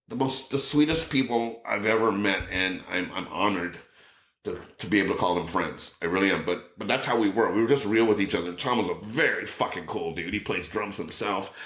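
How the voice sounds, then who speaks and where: A middle-aged man telling a story in casual chat, acting out quotes with a raised voice. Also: moderate pace. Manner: animated, acts out quotes with raised pitch. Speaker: podcast guest. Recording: roomy echo.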